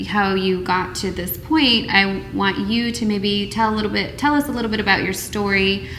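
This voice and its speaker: A woman hosting an interview podcast, chatting casually with many pauses and restarts. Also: medium pitch, steady tone, fairly bright tone, reverberant, soft background music. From United States. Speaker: podcast host.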